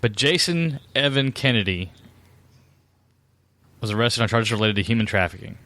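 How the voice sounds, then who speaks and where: A man's voice: on the low side, animated, moderate pace, fairly bright tone, dry recording. A man hosting a casual podcast, sarcastic and swearing freely, laughing as he talks. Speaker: podcast host. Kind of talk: casual profane podcast chat.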